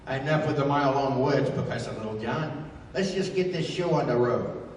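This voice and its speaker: An actor playing a scrappy, wisecracking adventurer sidekick with slangy, grumbling banter in 1930s radio style. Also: male voice, medium pitch, animated, normal speed, natural voice. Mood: grumbling, cocky. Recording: echoey hall from far off, faint noise.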